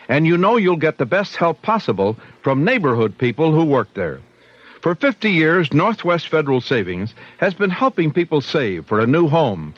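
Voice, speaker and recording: A man delivering a scripted radio advertisement as a commercial announcer. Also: mid-range voice. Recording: dull 1970s radio recording.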